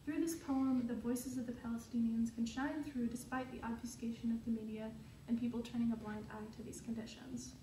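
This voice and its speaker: A woman's voice: fairly high pitch, steady tone, brisk. A young adult woman giving a scripted explainer as a student presenter. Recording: roomy, noisy recording, distant mic.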